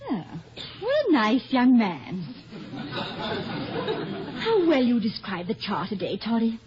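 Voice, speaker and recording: An actress playing a gracious, charming former stage star in a 1950s radio comedy, genteel, coy and theatrical. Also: female voice, on the high side, big pitch swings, takes it slowly, crowd noise. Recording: muffled, noisy old radio with audience.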